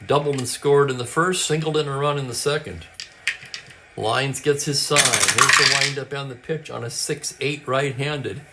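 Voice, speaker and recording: A man calling a tabletop baseball replay as play-by-play, with brisk calls and stat asides, and a voice that is getting hoarse. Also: medium pitch, heavy background noise. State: losing his voice, clearing his throat. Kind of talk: play-by-play commentary.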